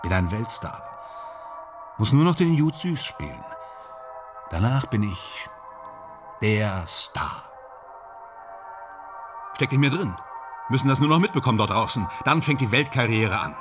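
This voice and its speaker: A man's voice: mid-range voice, sing-song, unhurried, long pauses, music in the background. A man playing a boastful, ambitious actor in a German radio drama, speaking in theatrical, self-dramatizing fragments. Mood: dreamy, boastful. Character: boastful, ambitious male stage actor dreaming of Hollywood stardom.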